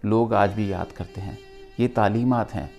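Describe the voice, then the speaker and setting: A middle-aged man with a Pakistani accent speaks in a fairly low voice, delivering a motivational talk to camera. His phrasing is earnest and emphatic, broken by deliberate pauses. Soft instrumental music plays behind him. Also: gravelly.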